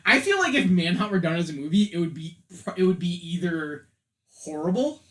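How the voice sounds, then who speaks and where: Casual man with a slightly higher voice. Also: big pitch swings, fairly quick, fairly bright tone. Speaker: podcast co-host. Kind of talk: casual film and game discussion.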